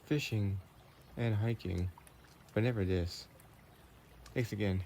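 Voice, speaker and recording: Man playing a slow, mellow vampire boyfriend. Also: fairly low pitch, gravelly, a little hiss or hum. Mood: tender, caring. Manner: slow, gentle, teasing, chatty. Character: affectionate, playful vampire boyfriend on a camping date. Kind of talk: intimate boyfriend roleplay audio.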